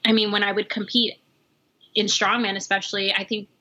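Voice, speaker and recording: A woman guest chatting casually and warmly, with lots of fillers and easy laughter. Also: medium pitch, flat delivery, brisk, poor audio. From New York. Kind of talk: casual conversation.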